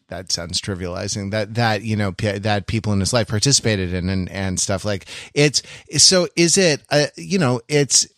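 A man in a casual, analytical podcast discussion, speaking haltingly as he thinks aloud and qualifies himself. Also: lowish voice, a bit bright, no echo, clean studio sound. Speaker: podcast panelist.

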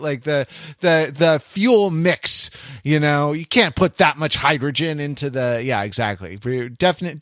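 A man hosting a podcast in a casual, wry way, haltingly circling back on his phrases. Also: fairly high pitch, a bit bright, dry recording, clean studio sound. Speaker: podcast host.

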